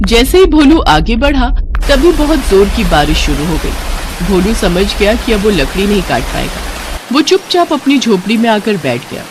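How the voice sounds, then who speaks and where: A woman reading a cartoon bedtime story aloud, with a mid-pitched voice that rises and falls with plenty of life. Her delivery is expressive and scripted, and faint noise sits in the background. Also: moderate pace. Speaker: narrator.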